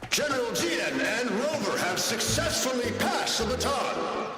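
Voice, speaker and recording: A race commentator whose voice rings out very high and lively. His projecting, excited calls echo with a long reverb. Loud game music plays under him. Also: measured pace, exaggerated voice. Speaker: race announcer. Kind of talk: sports commentary.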